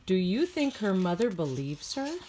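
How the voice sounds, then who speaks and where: A woman's voice: mid-range voice, big pitch swings, moderate pace, warm tone. Middle aged lady reading kids book, very up and down voice, noisy home recording with clicks. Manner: simple, clear phrasing with questions to young listeners. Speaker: storytime host reading a picture book to children. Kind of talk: expressive children's storybook read-aloud.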